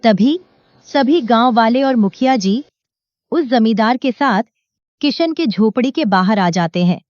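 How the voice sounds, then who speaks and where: A woman storyteller with a fairly high voice reads scripted narration. The sound is very dry and a little dull. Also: animated, measured pace, darkish tone, dry recording.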